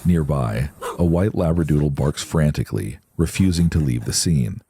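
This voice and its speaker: Man, very deep voice, mellow dark tone, grave scripted narration, dramatic. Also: normal speed, slight background noise. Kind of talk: scripted true-crime narration.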